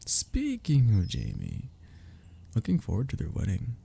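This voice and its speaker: A man acting a young best friend in an intimate audio roleplay, with a very gravelly, low voice. He is affectionate and playful, teasing gently in a soft, earnest way, and his pitch moves around in a lively manner. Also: unhurried, a little hiss or hum, heavily acted. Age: young adult.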